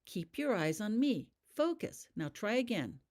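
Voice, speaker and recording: Female narrator as encouraging young witch mentor in a storybook, direct coaching tone, swooping pitch. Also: sing-song, unhurried, darkish tone. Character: brisk, encouraging student witch dueling mentor.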